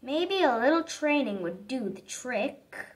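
A woman reading a children's story aloud in an expressive, dramatic manner for young listeners. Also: high voice, unhurried, slight background noise. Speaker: storybook reader. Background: background music.

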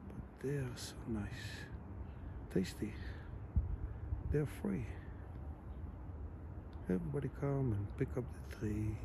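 A man with a foreign accent gently narrating a morning walk through a park while filming with a handheld camera. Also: mid-range voice, noisy background. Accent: foreign accent, French or Italian. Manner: gentle, admiring, simple phrasing with non-native grammar. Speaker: vlogger filming a nature walk. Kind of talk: casual walking commentary. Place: outdoors in a city park by a lake.

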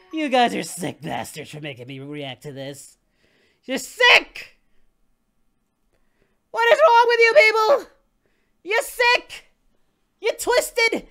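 A performer voicing an excitable young male anime hero, hosting a reaction video with melodramatic yelling and wailing. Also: very high voice, vocal fry, heavily acted. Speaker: reaction channel host. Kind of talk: over-the-top reaction commentary. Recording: music playing behind.

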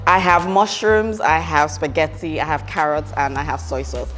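A woman's voice: low voice, fairly even intonation, moderate pace, fairly bright tone. A woman with a Nigerian accent hosting a cooking show, chatting casually in a kitchen. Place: studio kitchen, indoors. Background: music.

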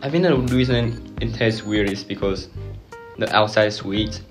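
A man's voice: lowish voice, moderate pace. A young man from Taiwan, chatting casually on camera as he gives his opinions as a taster. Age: young adult. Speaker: snack taster. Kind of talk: casual on-camera reaction chat. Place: indoor room with block wall, seated at table. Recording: music behind the voice.

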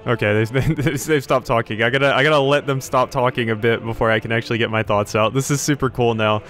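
A man's voice: medium pitch, fairly even intonation, quick pace, a bit bright, soft background music. A cheerful young man giving laughing commentary while playing a game. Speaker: let's play host. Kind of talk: gameplay commentary.

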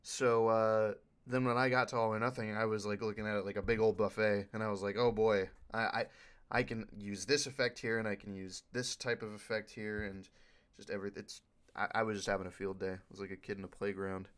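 A man's voice: on the low side, flat delivery, a little fast, a bit bright, smooth. A man speaking casually as a panelist in a Q&A, rambling with enthusiasm. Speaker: panelist, video editor for a fan dub group.

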